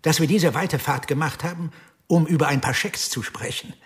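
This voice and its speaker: An actor playing a male police detective who questions a suspect in a measured, quietly pressing way. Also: fairly high pitch, natural voice. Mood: steady. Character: calm, probing male police investigator. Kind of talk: radio drama acting.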